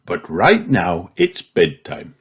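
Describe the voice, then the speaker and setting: A man voicing a calm, practical mother pig in a children's storybook, patient and matter-of-fact. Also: low voice, takes it slowly, creaky, breathy edge, exaggerated voice.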